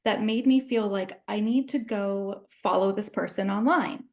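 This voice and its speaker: Woman, interview host, mid-pitched voice, friendly, meandering questions, video call. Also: measured pace, darkish tone. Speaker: podcast host. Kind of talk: casual interview hosting.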